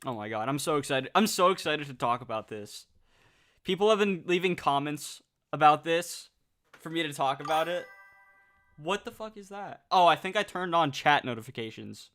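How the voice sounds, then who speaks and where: A nineteen-year-old man chatting casually on a livestream, reading out viewer comments, excitable and swearing freely. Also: a little high, moderate pace.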